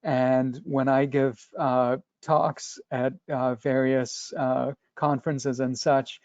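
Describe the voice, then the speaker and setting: A middle-aged or older man with a Polish accent makes a casual comment in a measured, hesitant way. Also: medium pitch, little pitch movement, darkish tone, dry recording. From Poland. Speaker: audience participant. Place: online video meeting. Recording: dry, dull online-call audio.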